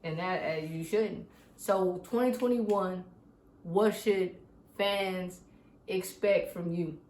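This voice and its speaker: A woman from San Bernardino hosting a casual interview, talking in a relaxed, slangy way. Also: fairly low pitch, slow pace, roomy sound, a little hiss or hum. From San Bernardino, California. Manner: relaxed, slangy, laid-back. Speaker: interviewer host.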